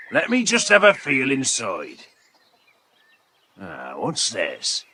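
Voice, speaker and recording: Rough-voiced farmer, forceful, interrogating, swooping pitch, audio drama. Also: male voice, a little hiss or hum, full character voice. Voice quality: gravelly, rough. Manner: commanding, interrogating, emphatic. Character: gruff, forceful farmer secretly working with the police. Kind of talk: audiobook play acting.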